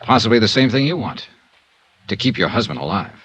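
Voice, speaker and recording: An actor playing a shrewd, tough insurance investigator, narrating and talking in a clipped, matter-of-fact 1950s radio noir style. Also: male voice, lowish voice, sing-song, fairly quick, a bit bright. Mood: pressing. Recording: muffled vintage radio recording.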